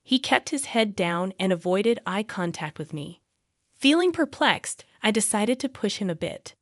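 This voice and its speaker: A woman's voice: mid-range voice, expressive, a little slow, dry recording, clean studio sound. A woman reading a scripted first-person story as its narrator.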